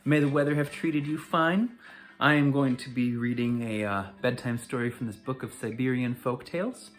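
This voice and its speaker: A storyteller reading a bedtime folk tale aloud in an expressive, dramatic way. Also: mid-range voice, moderate pace. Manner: dramatic, animated delivery. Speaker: storyteller reading to a school community.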